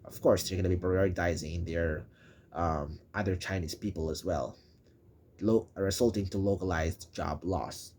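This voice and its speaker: A young man from the Philippines with a Filipino accent, speaking English as a second language and explaining a school topic in a hesitant way with many pauses and restarts. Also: sits low, fairly even intonation, a little mellow, slight background noise. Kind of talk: informal explainer video.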